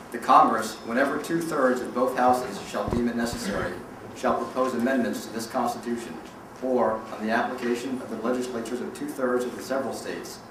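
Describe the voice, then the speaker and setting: Very reverberant, noisy male reader. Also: medium pitch. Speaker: bar association president reading aloud. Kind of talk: formal read-aloud of a legal document. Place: large reverberant room at a public event. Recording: very echoey hall, distant mic, clear noise.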